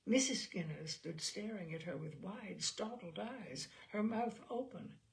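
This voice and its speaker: Female storyteller for literary audiobook, unhurried and expressive, with a gravelly creak. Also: medium pitch, low-quality recording. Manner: measured, expressive. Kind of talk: dramatic literary audiobook narration.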